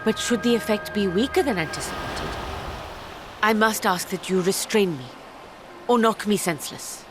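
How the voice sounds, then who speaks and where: A woman's voice: mid-range voice, moderate pace, close to an everyday voice. An actress playing a determined, composed woman researcher in a fantasy video game, speaking formally and precisely. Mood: composed, resolute. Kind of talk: video game cutscene acting. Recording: voice over game music.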